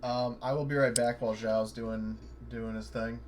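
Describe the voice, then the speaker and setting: A man playing a tabletop game who swears freely and thinks out loud about the rules. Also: mid-range voice, steady tone, normal speed, a little hiss or hum. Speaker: player. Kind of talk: casual tabletop game chat.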